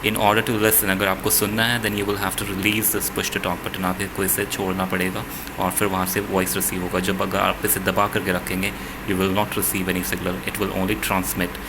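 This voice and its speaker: Low, flat Indian man explaining, noisy background. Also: unhurried. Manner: switches between Hindi and English, repeating points. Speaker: product reviewer. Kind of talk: hands-on gadget demonstration, explanatory.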